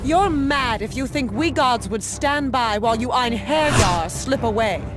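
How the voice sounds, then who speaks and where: A proud, stern warrior goddess in a fantasy game. Her voice is fairly high and her delivery slow and commanding, with music behind her. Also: animated. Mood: threatening. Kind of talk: dubbed video game cutscene acting.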